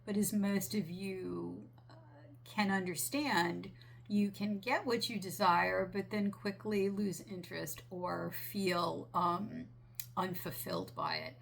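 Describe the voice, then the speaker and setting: Slow, gravelly woman channeler, raspy. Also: medium pitch. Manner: slow, gentle, earnest with small restarts. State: raspy from a sinus infection. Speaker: spiritual channeler. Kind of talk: relaxed monologue relaying a channeled message.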